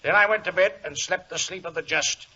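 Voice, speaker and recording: An actor playing a blustering, excitable colonel and racehorse owner in a 1940s radio drama, emphatic. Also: male voice, high voice, fairly quick, breathy edge, heavily acted. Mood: earnest, recounting. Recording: muffled, hissy 1940s radio recording.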